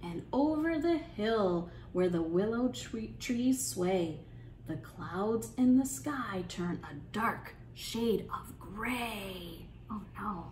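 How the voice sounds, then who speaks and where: A woman hosting an interactive children's storytime, speaking in an encouraging, sing-song way and pausing with questions for young listeners. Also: medium pitch, expressive, a little mellow, echoey room, a little hiss or hum, low-quality recording. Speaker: children's storytime librarian and host. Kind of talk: interactive children's storytime, reading aloud and leading songs.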